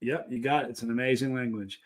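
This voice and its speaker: A middle-aged man hosting a live stream, chatting casually and telling anecdotes in an animated way, acting out the things people said. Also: medium pitch, fast talker. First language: English. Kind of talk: casual chat answering live viewers, telling travel anecdotes.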